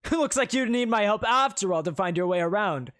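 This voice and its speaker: A man's voice: high-pitched, lively intonation, fairly quick, a bit bright, smooth, voice pushed up. A storyteller voicing a haughty, spoiled young male prince who huffs and sneers. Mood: smug. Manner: huffy, sneering and indignant.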